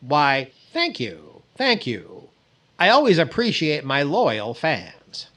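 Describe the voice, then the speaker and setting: A man's voice: a little high, huge pitch swings, fairly bright tone, exaggerated voice. A man playing a vain, flustered, stammering middle-aged comedian in 1940s-style radio comedy. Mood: smugly flattered. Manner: hesitant, stammering, exasperated delivery with drawn-out pauses.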